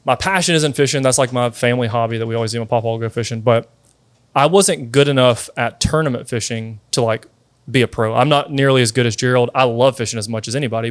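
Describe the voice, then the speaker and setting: Guest, man, 30, mid-pitched, talkative storyteller, dry close mic. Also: clear, resonant tone, no room sound. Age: 30s. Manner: chatty, many fillers like "like" and "dude". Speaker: guest, sweet tea company founder. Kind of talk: casual interview storytelling.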